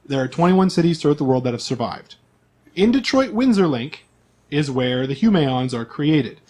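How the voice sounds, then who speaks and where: A man's voice: fairly high pitch, sing-song, moderate pace, darkish tone. A man speaking as game master, explaining the game's setting to his players in an informal, conversational way.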